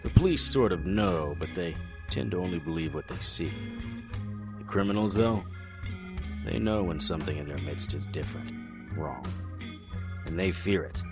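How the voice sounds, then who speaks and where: A flippant, world-weary occult investigator in noir-style audio drama. His voice sits very low but moves expressively. Music plays clearly behind him. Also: animated, normal speed, pauses often. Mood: wry narration. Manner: sardonic, flippant.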